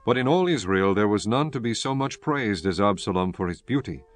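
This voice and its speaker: A man's voice: on the low side, animated, brisk. A man reading scripture as a narrator in a solemn, scripted style.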